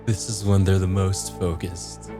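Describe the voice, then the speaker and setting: A man's voice: low-pitched, flat delivery, a little slow. A man playing a tabletop roleplaying game, narrating his character's actions from inside the role. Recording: music clearly playing behind the voice. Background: background music.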